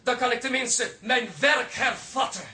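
A man's voice: extremely high pitch, steady tone, a little slow. An actor playing a pompous, easily irritated male pianist in a radio comedy, speaking formally and indignantly. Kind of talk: radio comedy drama acting.

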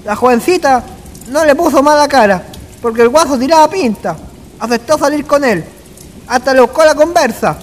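Rustic Chilean storyteller, very high voice, folksy country talk, radio play, long reverb. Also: male voice, lively intonation, normal speed, slight background noise. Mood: storytelling. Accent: rural Chilean. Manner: folksy, colloquial. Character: rustic country man telling tavern anecdotes. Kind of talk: radio drama acting, colloquial storytelling. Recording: long reverb, faint music behind.

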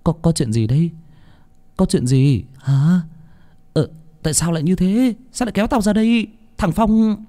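A man's voice: mid-range voice, brisk, dark tone, voice pushed up, lightly acted. A man voicing a timid, bewildered village boy in a horror story, stuttering his questions. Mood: alarmed.

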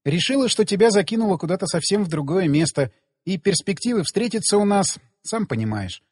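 A man's voice: sits high, animated, fairly quick, darkish tone, breathy edge, long reverb tail. A man voicing a proud, teasing woman in an audiobook, with a casual, wry, self-assured manner. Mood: casual, confiding. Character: proud, teasing young woman, lover of the hero.

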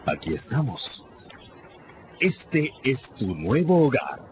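An actor playing a hard, contemptuous French revolutionary jailer, declaiming scornfully in a radio drama. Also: male voice, mid-range voice, big pitch swings, takes it slowly, lo-fi sound, background noise. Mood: cold contempt.

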